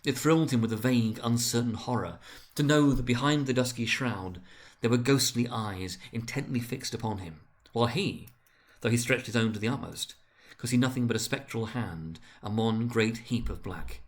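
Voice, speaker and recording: A man with a medium-pitched voice reads as a storyteller. His narration is dramatic and deliberate, with a grave, theatrical manner suited to a classic storybook.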